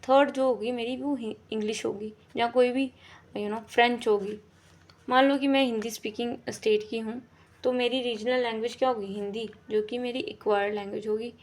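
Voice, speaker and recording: A woman's voice: on the high side, fairly even intonation, normal speed. A woman teaching an exam-prep lesson in a casual explaining way, often checking in and rephrasing her points. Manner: repeats "ठीक है" and rephrases often, with fillers.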